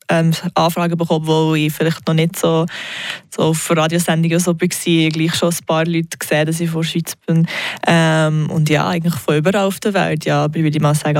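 A young woman, a native English speaker, chatting casually in an interview about her tarot readings, relaxed and full of small hesitations. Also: low voice, creaky, no room sound, studio quality. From Switzerland. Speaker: tarot reader.

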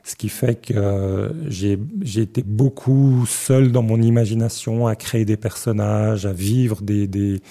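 A man's voice: lowish voice, fairly even intonation, a little slow, few pauses, mellow. A man with a Genevan accent, speaking as a guest author in a radio interview, reserved and hesitant with many restarts. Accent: Genevan French. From Switzerland (Geneva).

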